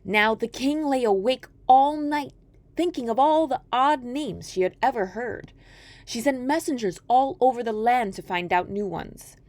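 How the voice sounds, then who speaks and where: A woman storyteller reading a folktale aloud in an expressive, scripted narration style. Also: on the high side, a little slow, no room sound.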